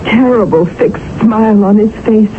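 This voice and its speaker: A woman's voice: medium pitch, normal speed, close to an everyday voice. Anxious, genteel Victorian lady played by a woman. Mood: distressed. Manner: polite, earnest, dramatic. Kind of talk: 1970s radio drama acting. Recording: noisy, muffled old radio broadcast recording.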